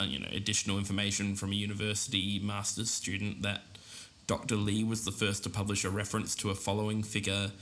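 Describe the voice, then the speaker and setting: A man's voice: lowish voice, a bit bright, close to an everyday voice. A man playing a casual, considerate podcast investigator with a hesitant way of talking. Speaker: podcast co-host. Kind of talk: documentary podcast narration and interviewing.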